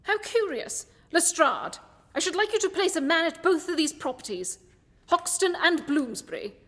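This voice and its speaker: A woman acts a composed, incisive detective in a radio play. The voice is high and precise, giving orders with assurance, and rings in a long hall-like reverb. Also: animated, normal speed, fairly bright tone. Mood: assured. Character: confident, incisive gentleman detective. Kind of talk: festive radio play acting.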